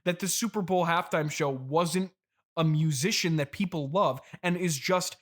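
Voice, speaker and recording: A man as an exasperated, slightly high-voiced CEO father. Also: moderate pace, natural voice. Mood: exasperated, alarmed. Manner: patient, incredulous, hesitant repetitions. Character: exasperated, indulgent trillionaire CEO father interviewing his son. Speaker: podcast host. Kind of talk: improvised comedy interview.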